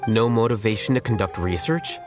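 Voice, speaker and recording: A man plays a blunt, analytical scholar in an anime game. The voice is fairly low, measured and precise, with music clearly playing behind it. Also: lightly acted. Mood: probing, reserved. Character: composed male scholar, analytical, blunt, patient explainer. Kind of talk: anime-style video game voice acting.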